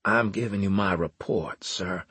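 A man's voice: low-pitched, unhurried, bright, forceful tone, husky, dry recording, heavily acted. Man playing a brash, folksy joking soldier. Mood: dry, blunt. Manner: laid-back, teasing, colloquial.

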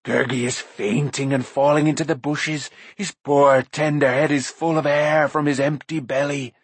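A man voicing a pitiful, grovelling, hairy half-beast creature that whines and wheedles, in a slightly husky voice. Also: a little high, flat delivery, moderate pace. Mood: wheedling.

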